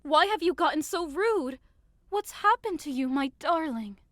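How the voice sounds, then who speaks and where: A woman's voice: high-pitched, expressive, a little slow, no echo, studio quality. A woman playing a short, hot-tempered young woman hurt in love, melodramatic and indignant, in a modernized Shakespeare audio drama. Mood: bewildered, hurt. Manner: melodramatic, indignant, outbursts swinging to wounded pleading.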